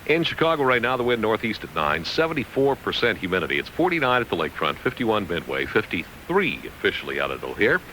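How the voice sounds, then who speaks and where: A man's voice: on the low side, big pitch swings, fast, few pauses, sharp tone, slight background noise. An American man anchoring radio news, reading scripted headlines and weather.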